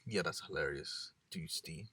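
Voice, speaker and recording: A man from England co-hosting a casual chat in a parked car, animated and quick to imitate others. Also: extremely low pitch, breathy edge, no echo. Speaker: podcast co-host.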